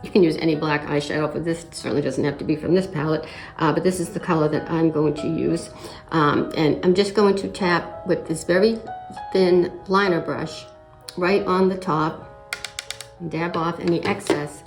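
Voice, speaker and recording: Raspy woman with a slight cold. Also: sits low, moderate pace, over music, rough recording. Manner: relaxed step-by-step explaining with frequent "uh" and throat clearing. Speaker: makeup vlogger. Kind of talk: casual makeup tutorial narration.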